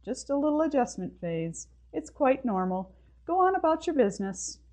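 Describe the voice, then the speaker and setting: A woman voicing a breezy, dismissive gentleman delivery man in a short story, briskly reassuring. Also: sits high, very animated, voice pushed up.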